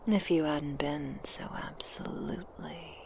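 A woman playing a seductive, hungry vampire who is doting yet predatory, in an intimate, breathy whispered ASMR roleplay. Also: fairly even intonation, a little mellow. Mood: teasing apology. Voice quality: breathy whisper with creaky low murmurs. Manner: slow, intimate, sultry. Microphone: very close binaural microphone.

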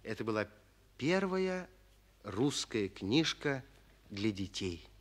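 An actor narrating a 1940s children's radio play as a kindly storyteller, expressively addressing young listeners. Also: male voice, lowish voice, sing-song, takes it slowly, exaggerated voice. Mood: storytelling.

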